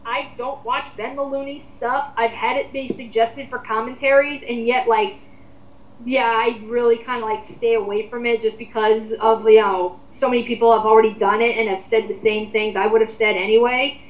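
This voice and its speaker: A woman's voice: a little high, steady tone, moderate pace. A woman co-hosting an online commentary, chatting casually and giving her opinions in a rambling, informal way with occasional swearing. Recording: roomy, dull lo-fi home recording.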